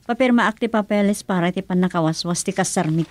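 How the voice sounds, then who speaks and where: A woman's voice: on the low side, fast, close to an everyday voice. A woman acting a resolute, bitter wronged wife in an Ilocano radio drama. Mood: bitter, determined.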